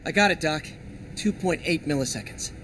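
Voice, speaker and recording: An actor playing an eager young American superhero and lab assistant in a video game, speaking casually and earnestly. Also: male voice, fairly high pitch, animated, normal speed, a bit bright, slight background noise. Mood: focused. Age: young adult.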